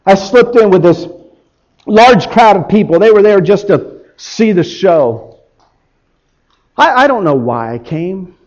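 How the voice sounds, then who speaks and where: A man performing a guilt-ridden disciple confessing his betrayal in a halting, emotional dramatic biblical monologue. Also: sits high, expressive, muffled recording. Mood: remorseful, hushed, then tense, bewildered. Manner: halting, emotional, swinging from hushed to shouting.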